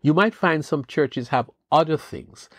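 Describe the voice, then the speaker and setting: A man hosting a devotional podcast, giving an earnest, measured Bible teaching with rising questions. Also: fairly high pitch, husky, no echo. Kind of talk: scripted devotional talk.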